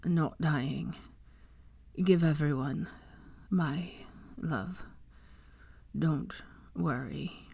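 A woman voicing a groggy, wounded young man who speaks quietly and with effort, wry but affectionate. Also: low voice, takes it slowly, husky, exaggerated voice. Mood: weak, quiet. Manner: quiet, murmured, labored speech.

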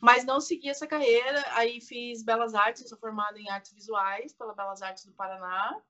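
A woman's voice: a little high, fairly even intonation, moderate pace, bright, forceful tone, lo-fi sound. A woman in her forties with a southern Brazilian Portuguese accent, chatting informally as an interview guest. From Curitiba, Brazil. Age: 40s. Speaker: interview guest, content creator. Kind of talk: casual chat.

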